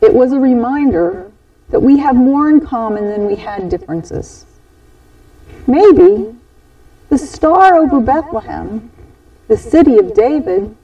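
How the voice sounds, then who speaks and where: A woman tells a scripted inspirational story at a slow, deliberate pace. Her voice is soft-edged and mellow, with animated rises and falls. The microphone sits at some distance from her. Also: a little high, highs cut off.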